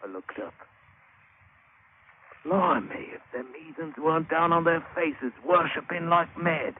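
A man's voice: a little high, a little slow, close to an everyday voice. British sailor played by a man on tinny old radio. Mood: amazed, wry. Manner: colloquial, dramatic storytelling. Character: plain-spoken British sailor spinning a wry adventure yarn. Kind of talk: 1950s radio drama acting, first-person narration. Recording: thin, hissy old radio broadcast.

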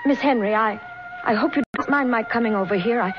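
A woman's voice: fairly high pitch, brisk, loud music behind the voice, lightly acted. A young woman playing an anxious, insistent grown daughter in a 1940s radio melodrama, urgent and questioning. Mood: anxious, polite. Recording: dull, noisy old 1940s radio recording.

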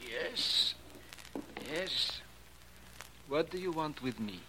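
A man's voice: a little high, lively intonation, very slow, sharp tone, full character voice. An actor playing a foreign observatory handyman in a 1940s radio drama, speaking stilted broken English with a foreign accent. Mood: insistent, mystical. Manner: stilted, broken English with simple present tense. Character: foreign observatory handyman who believes in astrology. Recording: noisy, clicky 1940s radio recording.

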